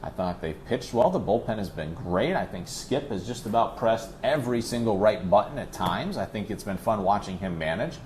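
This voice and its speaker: A man's voice: lowish voice, expressive, normal speed, few pauses, darkish tone, slight background noise. A man working as a radio play-by-play announcer, talking sports as a guest in an emphatic, conversational way with rhetorical questions. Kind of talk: animated sports discussion.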